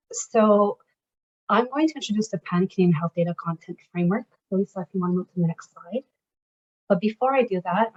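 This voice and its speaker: A woman getting over a cold, slightly raspy, presenting slides at a workshop in a steady, explanatory way. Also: medium pitch, lo-fi sound. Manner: steady, explanatory, walks through a scenario.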